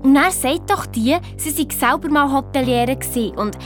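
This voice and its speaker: A woman's voice: high-pitched, normal speed, soft background music, close to an everyday voice. An actress playing a sensible, sceptical young girl with a Swiss German accent, telling her story in an audio drama. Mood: earnest. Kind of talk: colloquial first-person storytelling in an audio drama.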